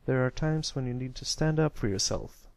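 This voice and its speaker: A man's voice: mid-range voice, moderate pace, creaky, a little mellow, no echo, lightly acted. A man voicing a protective older brother stallion, a royal guard who is firm and caring, in a storybook reading. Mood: gentle, encouraging.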